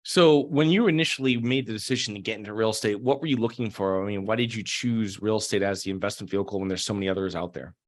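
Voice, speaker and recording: A male podcast host with a somewhat low voice reads a punchy sales pitch, then moves into looser interview talk. His delivery is direct, made for promo and hosting. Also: fast talker, hardly any pauses.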